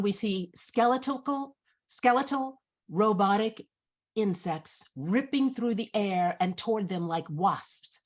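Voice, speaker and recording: Slow, measured woman narrator. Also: mid-range voice. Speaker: narrator reading stage directions. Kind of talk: scripted narration.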